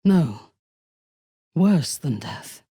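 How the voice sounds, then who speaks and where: A woman playing a proud, ruthless dark elf noblewoman in a video game, speaking formally and deliberately with a sardonic, coolly menacing manner. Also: low voice, very animated, breathy edge, no echo, studio quality, heavily acted. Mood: grave, intense. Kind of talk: video game character voice acting.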